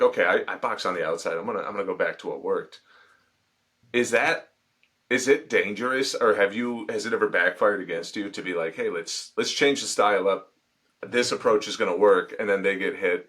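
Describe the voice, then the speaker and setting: Male interview host, lowish voice, easygoing, talks through questions with fillers. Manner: relaxed, rambling questions with many fillers.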